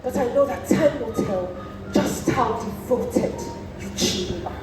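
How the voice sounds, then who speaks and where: A woman's voice: a little high, expressive, measured pace, music in the background. A Nigerian woman acting a stern, proud, accusing priestess in a stage play, with an imperious, declamatory delivery. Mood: stern, defiant. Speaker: student stage actor. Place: theatre stage, recorded from a distance. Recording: very echoey theatre, distant mic, faint noise.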